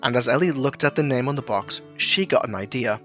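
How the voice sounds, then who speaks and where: A man's voice: medium pitch, a little fast, a bit bright, soft background music. A man reading scripted narration for an audio drama as its storyteller.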